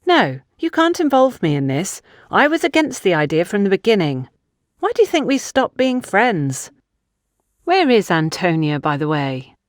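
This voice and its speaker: Young woman played by a female narrator, higher-pitched, indignant and guarded, an audio mystery witness under questioning. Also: sing-song, moderate pace, no echo, studio quality, lightly acted. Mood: indignant, defensive. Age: young adult. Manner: accusatory, indignant.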